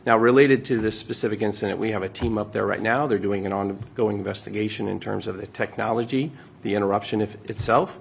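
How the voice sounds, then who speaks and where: Male official at a press conference, creaky low-set voice, slight room noise behind. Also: fairly even intonation, quick pace. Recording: faint background noise and ambience.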